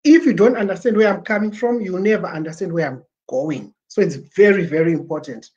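Zimbabwean man, animated livestream talk. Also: sits high, brisk, breathy edge, highs cut off. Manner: animated, gestures a lot, mixes Shona into English. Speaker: livestream presenter. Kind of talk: casual livestream pitch. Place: small plain room indoors. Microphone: webcam at desk.

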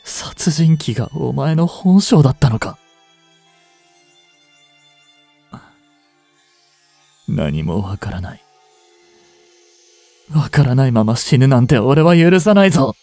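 A man acting a breathy, anguished young policeman. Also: medium pitch, very animated, warm tone, no room sound, faint music behind. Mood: anguished, urgent. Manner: halting, emotional delivery with sighs and pauses. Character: anguished young male police officer, devoted boyfriend. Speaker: voice actor in a boyfriend audio drama. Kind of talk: dramatic Japanese situation voice acting.

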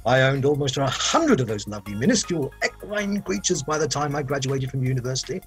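A man's voice: medium pitch, sing-song, quick pace, faint music behind, close to an everyday voice. An older actor playing an eccentric male inventor who rambles and gushes, speaking over a video call. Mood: gushing. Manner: rambling, gushing, sing-song emphasis. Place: home room, video call.

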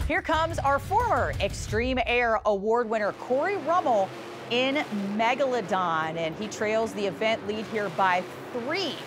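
A woman's voice: fairly high pitch, lively intonation, unhurried, music in the background. A woman doing upbeat play-by-play commentary on a motorsport show, with engines behind her. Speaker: sports commentator. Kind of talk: arena sports commentary.

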